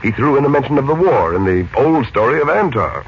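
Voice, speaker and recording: An actor playing a calm, knowing middle-aged uncle who investigates matters in a 1940s radio drama. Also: male voice, medium pitch, brisk. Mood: measured, reasoning. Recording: muffled, faintly noisy 1940s radio recording.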